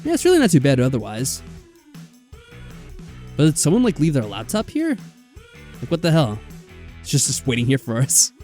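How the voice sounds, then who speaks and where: A man's voice: medium pitch, wildly swooping pitch, normal speed, pauses often, warm tone. A man reading a visual novel aloud as a let's player, dropping in wry, exasperated casual asides. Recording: background music.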